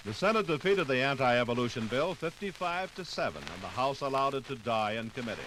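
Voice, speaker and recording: A man's voice: mid-range voice, sing-song, a little fast. A man giving formal, authoritative scripted narration for a 1950s radio documentary. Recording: noisy 1950s radio record.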